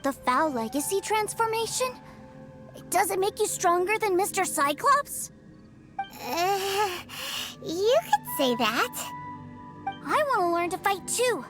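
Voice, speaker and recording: A woman's voice: very high voice, sing-song, takes it slowly, over music, exaggerated voice. An eager little boy. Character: small eager young boy, admiring, earnest. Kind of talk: game dialogue voice acting.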